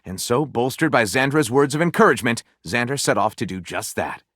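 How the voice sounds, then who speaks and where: A man's voice: medium pitch, expressive, fairly quick, fairly bright tone, no echo, clean studio sound. A man voicing a grandiose, lewd, self-satisfied storyteller character in a video game, with wry, sarcastic asides. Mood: dramatic narrating.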